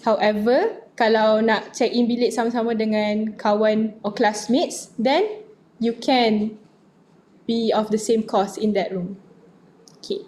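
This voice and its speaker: A woman's voice: on the high side, fairly even intonation, measured pace, a little mellow, a little hiss or hum. A young Malaysian woman with a Malaysian accent, answering student questions in a casual online session, switching between Malay and English. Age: young adult. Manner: mixes Malay and English, reads questions aloud then answers.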